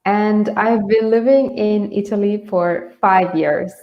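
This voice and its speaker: A woman's voice: medium pitch, fairly even intonation, slow, dull audio. A woman from Bangladesh hosting an online English lesson, explaining things informally and a little hesitantly. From Bangladesh, grew up in Dhaka. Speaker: English teacher, webinar host. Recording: roomy, echoey sound.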